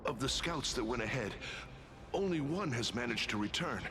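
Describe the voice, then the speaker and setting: An actor playing a courteous, weary military officer of an ancient kingdom in a video game, speaking formally and hesitantly. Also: male voice, medium pitch, expressive, normal speed, noisy background, natural voice. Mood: grave, weary. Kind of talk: video game story dialogue.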